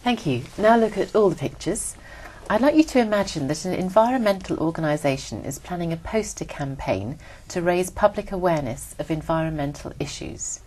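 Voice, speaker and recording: A woman examiner reading out formal instructions in a measured, steady way. Her voice sits a little low for a woman. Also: poor audio. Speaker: exam examiner. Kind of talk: scripted exam prompts.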